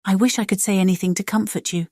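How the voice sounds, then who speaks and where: A woman playing a composed, sensible young gentlewoman in a dramatized audiobook, measured and sincere. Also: mid-range voice, fairly even intonation, no echo, studio quality, close to an everyday voice. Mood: sympathetic. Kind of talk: dramatized audiobook character acting.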